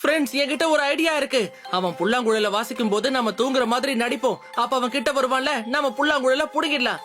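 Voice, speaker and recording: An actor voicing an excitable young cat hero in a cartoon dub, eager and exclamatory. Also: sits high, fast, lightly acted. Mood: eager planning. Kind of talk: Tamil cartoon dub acting. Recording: loud music under the voice. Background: loud cartoon music.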